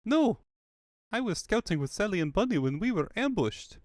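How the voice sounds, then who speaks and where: A man voicing a frantic, high-strung male animal scout in a comic fandub. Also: sing-song, moderate pace, a little mellow, no room sound, studio quality. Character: panicky, excitable male cartoon animal scout. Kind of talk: comic fandub character acting.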